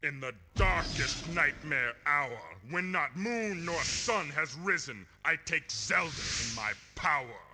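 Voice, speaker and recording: A man voicing a beastly villain in a game cutscene, declaiming slowly in rhymes. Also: on the high side, expressive, pushed and bright, heavily acted. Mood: menacing. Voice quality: slow, rhyming declamation. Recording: noisy lo-fi game cutscene audio.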